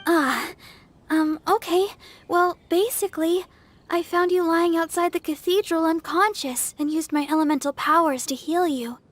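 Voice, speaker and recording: Sweet teenage healer, extremely high girlish voice, earnest, slightly flustered, game character. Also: fairly even intonation, normal speed, fairly bright tone. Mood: flustered, cheerful. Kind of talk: video game voice acting.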